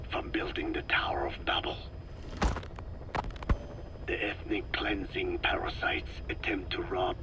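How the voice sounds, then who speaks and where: An actor playing a frail, learned old Navajo scientist in a video game, lecturing gravely in slow, measured phrases with a breathy rasp. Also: male voice, low-pitched, rough recording. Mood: grave, foreboding, then wry, solemn. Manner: slow, solemn, measured, deliberate. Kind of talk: dramatic game monologue, lecturing.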